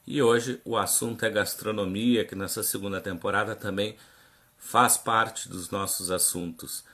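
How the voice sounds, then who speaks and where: A man's voice: fairly low pitch, unhurried. Easygoing male host of a live chat. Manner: relaxed, informal, many fillers like "né". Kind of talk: live online interview.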